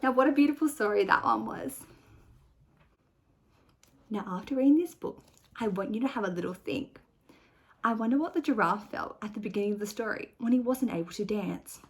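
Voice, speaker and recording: A woman teacher doing a storytelling read-aloud for young children, expressive and clearly phrased. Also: fairly high pitch, brisk, long pauses. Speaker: teacher reading a picture book to children.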